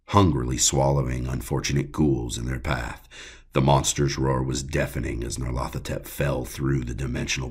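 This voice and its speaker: A man reads dramatic horror fiction in a bottomless, gravel-filled voice. He takes it slowly and gravely, giving the scripted prose a deliberate weight. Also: a bass voice. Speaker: audiobook narrator.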